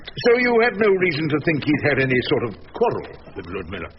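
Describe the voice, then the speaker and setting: An actor playing a wry, stubborn older English defence barrister in a radio drama, speaking in a droll, theatrical, measured way. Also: male voice, medium pitch, wildly swooping pitch. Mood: probing, sardonic. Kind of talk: radio drama narration and courtroom acting. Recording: dull radio recording, faint noise, reverberant courtroom.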